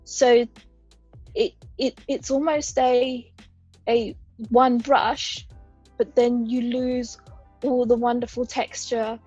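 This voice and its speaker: Brief: woman, 30s, raised partly in Uganda, husky and fairly high voice, measured explainer, dull lo-fi podcast sound. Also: little pitch movement, darkish tone, soft background music. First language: a Ugandan language. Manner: thoughtful, explanatory, lays out examples. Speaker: podcast guest. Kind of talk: conversational interview.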